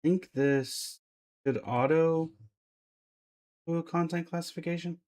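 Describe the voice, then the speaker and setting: A man's voice: a little high, steady tone, measured pace, a little mellow. A man chatting casually to viewers as he hosts a live gaming stream. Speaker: livestreamer.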